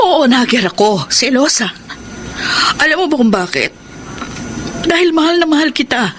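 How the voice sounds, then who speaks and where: An actress playing a heartbroken, jealous and bitter betrayed wife in a Filipino radio drama, with an emotional, aching delivery. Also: female voice, high voice, big pitch swings, moderate pace, slight background noise. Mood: jealous, hurt. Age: adult. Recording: prominent music under the voice.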